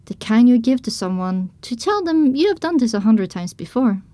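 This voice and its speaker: A woman reading scripted first-person story narration for an audio drama, with expressive storytelling delivery. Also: on the high side, normal speed, no echo.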